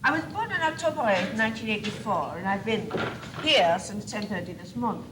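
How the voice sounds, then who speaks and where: A woman's voice: medium pitch, lively intonation, brisk, natural voice. Girl or woman playing pompous French-accented baby boy doll. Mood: smug, storytelling. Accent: put-on French. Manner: pompous, theatrical. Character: haughty four-month-old baby boy doll, self-styled Frenchman. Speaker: student actor in a school play. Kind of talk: stage one-act comedy acting. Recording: echoey, noisy 1985 school video tape.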